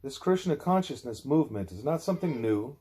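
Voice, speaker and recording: A man with a measured, plain-spoken way of talking, reading aloud or speaking as a parent at home. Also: medium pitch, lively intonation, dark tone. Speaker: reader, parent putting a child to bed. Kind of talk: reading a religious text aloud, with quiet parental chat.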